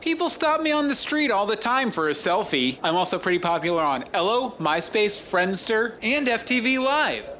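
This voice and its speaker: An actor playing a vain, needy American news anchor who sounds self-important and defensive. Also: male voice, sits high, sing-song, normal speed, few pauses, bright, forceful tone, a little hiss or hum, heavily acted.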